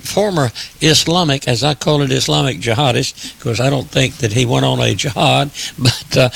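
A man with a husky, creaky voice at a middling pitch hosts a religious radio broadcast. He introduces things formally and deliberately, with a preacher's phrasing. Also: fairly bright tone. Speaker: radio host and pastor.

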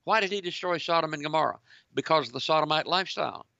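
A man with a gravelly, bright voice hosts a talk radio program in a preacherly tone, often turning to his audience as ladies and gentlemen. His voice sits a little high for a man. The sound is dry and muffled, like an older broadcast. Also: lively intonation, fast talker, no room sound. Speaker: radio show host. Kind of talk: talk radio commentary and interview.

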